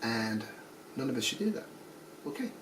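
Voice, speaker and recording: A man's voice: mid-range voice, sing-song, normal speed, recorded from a distance. Fifties male instructor, blunt and dry, sarcastic asides, a bit off-mic with faint noise behind. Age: 50s. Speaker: nursing instructor. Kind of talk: recorded course announcement.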